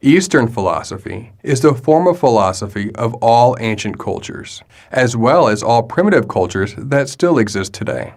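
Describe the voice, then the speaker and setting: A man reading explanatory narration in a steady manner. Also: medium pitch, normal speed, hardly any pauses. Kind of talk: read narration.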